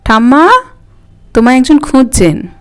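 A woman's voice: high voice, expressive, a little mellow. A woman voicing a moody, curt young college girl answering the telephone in an audio story, with music behind her. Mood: impatient, curious. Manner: brisk, curt, youthful.

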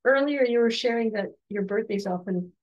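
A woman in her forties, slightly hoarse, gently interviewing her son at home on a video call with soft, encouraging questions and restarts. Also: on the high side, moderate pace, muffled recording. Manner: soft, encouraging, with frequent restarts and light laughs. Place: home room with bookshelves.

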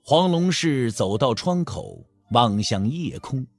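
A man narrating an audio drama with expressive, literary, scripted storytelling. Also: medium pitch, slow pace, no echo.

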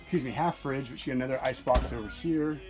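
A man's voice: mid-range voice, quick pace. A man in his forties casually walking viewers through a sailboat, talking into his handheld phone with plenty of fillers and self-corrections. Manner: relaxed, chatty, with frequent fillers and self-corrections. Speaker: yacht broker. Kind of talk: casual walkthrough tour. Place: aboard a sailboat in a marina, deck and cabin. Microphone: handheld phone camera. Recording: phone recording with music playing nearby.

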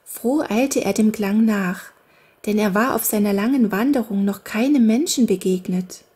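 A woman reading a fairy tale aloud for children in a slow, gentle storytelling manner. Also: medium pitch, slightly rough. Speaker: audiobook narrator.

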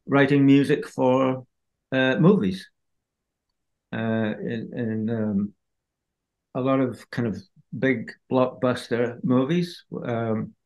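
An older man from Scotland, a film composer talking slowly and hesitantly on a video call from home. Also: mid-range voice, fairly even intonation, darkish tone. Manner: slow, hesitant, many fillers. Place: home room.

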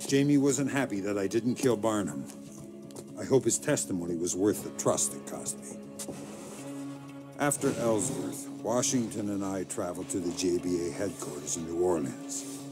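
Hardened American male agent, played by an actor, middle-aged, low voice, clipped and defiant, game cutscene with music underneath. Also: normal speed, lightly acted. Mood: grim narration. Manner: clipped, terse, guarded. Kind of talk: cinematic video game acting. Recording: music behind the voice.

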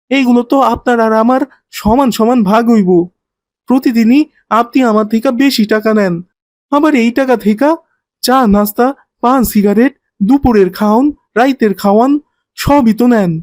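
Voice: medium pitch, normal speed, long pauses, a little mellow, natural voice. An actor playing a hardworking, aggrieved ten-year-old boy minibus helper in a cartoon, with colloquial Bangladeshi speech and a plain-spoken, complaining manner. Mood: aggrieved, protesting. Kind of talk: cartoon voice acting.

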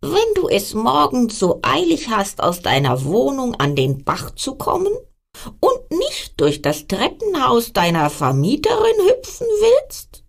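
A woman's voice: sits high, creaky, voice pushed up, exaggerated voice. A friendly young frog from a storybook, played by a woman, raised and coaxing, taking his time over each word. His tone climbs and dips as he talks.

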